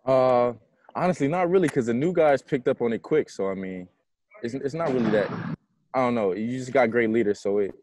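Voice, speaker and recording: A young man with a fairly low voice speaks as a college athlete after a game. He answers in an informal, plain-spoken way, talking into an earphone mic on a video call. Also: darkish tone, slight background noise. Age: young adult. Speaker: college basketball player. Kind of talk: post-game press conference answers. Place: indoor basketball arena, courtside. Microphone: earphone headset on a video call.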